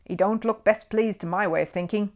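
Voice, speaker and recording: A woman's voice: medium pitch, fairly quick, natural voice. Woman voicing a bemused, plain-spoken hospital porter. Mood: patient, coaxing. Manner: colloquial, matter-of-fact, patient. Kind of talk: children's storybook character voice.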